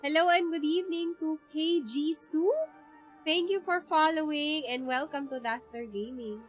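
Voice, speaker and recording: High-voiced Filipino woman game streamer. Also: normal speed. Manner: mixes Tagalog and English. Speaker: livestream host, gamer. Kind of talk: casual game stream commentary. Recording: game music behind the voice.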